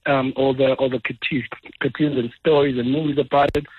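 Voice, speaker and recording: A South African man, an actor, speaking enthusiastically and haltingly as a guest on a casual radio phone interview. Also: medium pitch, flat delivery, breathy edge, no room sound. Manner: enthusiastic, repetitive, halting phrasing. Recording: dull, dry phone line.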